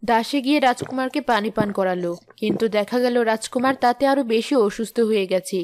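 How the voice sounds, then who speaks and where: A woman's voice: a little high, fast, darkish tone, no room sound, clean studio sound. A woman giving scripted narration for a fairy tale. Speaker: story narrator. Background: background music.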